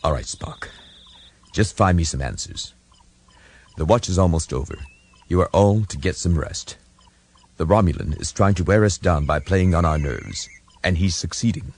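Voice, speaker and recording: A man's voice: sits low, normal speed, long pauses, a little hiss or hum. A man voicing a weary but decisive starship captain giving orders in a science fiction audiobook. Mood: tired, commanding.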